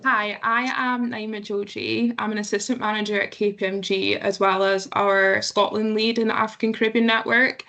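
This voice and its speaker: A woman, a young accountant, speaking as a panelist on a video call in a steady, flat delivery. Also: mid-range voice, normal speed, creaky, hardly any pauses, dull audio. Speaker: panelist, assistant manager. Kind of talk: panel introduction and answers.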